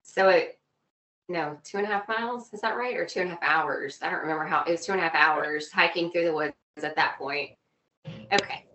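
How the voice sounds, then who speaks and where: Woman, slightly low voice, informal animated storyteller, podcast guest, casual chat. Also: a little fast, slight background noise, rough recording. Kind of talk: casual chat, telling travel anecdotes. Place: video call.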